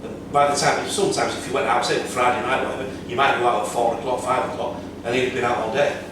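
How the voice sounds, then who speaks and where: A middle-aged man with a Yorkshire accent, chatting as a podcast guest, telling quick anecdotes about his years on club doors and running events security. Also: mid-range voice, fairly even intonation. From Yorkshire, England. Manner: quick anecdotal storytelling with many yeahs. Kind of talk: casual podcast chat. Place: bar lounge, seated on a sofa. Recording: echoey room from far off, background hum.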